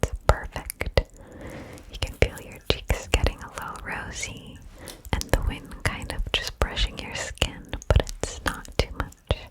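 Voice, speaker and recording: A woman hosting ASMR, leading a guided visualization in a soothing, breathy whisper with gentle pauses. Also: low-quality recording. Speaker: ASMR video host.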